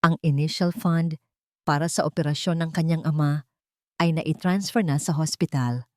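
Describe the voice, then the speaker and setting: A woman narrating a scripted romantic drama story in a measured, deliberate way with dramatic pauses. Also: low-pitched, no echo, clean studio sound. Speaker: narrator of romance audio stories.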